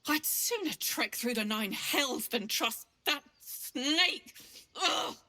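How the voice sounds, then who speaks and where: An actress playing a desperate, protective mother in a video game, forceful and impatient. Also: sits high, lively intonation, slow, full character voice. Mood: urgent, bitter.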